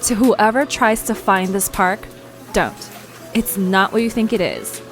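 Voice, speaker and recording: A woman playing a bold, nosy young amateur journalist in an audio drama, with a brisk, confident, playful delivery. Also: mid-range voice, soft background music, lightly acted. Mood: reading gravely. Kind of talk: conversational audio drama acting.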